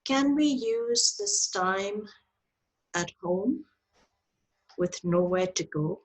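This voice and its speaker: A woman leading a guided meditation in a soft, breathy voice, with gentle, deliberate phrasing and long pauses, heard over a video call. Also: medium pitch, expressive. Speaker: meditation teacher leading a yoga nidra. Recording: video call audio with clicks.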